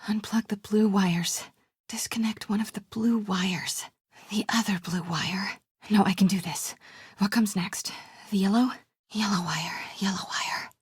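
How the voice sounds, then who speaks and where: A woman playing a determined young reporter in a video game, breathy and often hushed, with a wry way of talking to herself. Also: mid-range voice, steady tone, a little slow, no room sound. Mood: nervous, concentrating. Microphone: close, dry studio mic.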